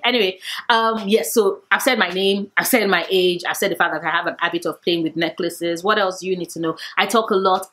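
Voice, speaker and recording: A woman's voice: medium pitch, fairly bright tone. Chatty book vlogger woman in her late twenties. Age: 20s. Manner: chatty, playful, talkative. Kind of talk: casual chat to camera.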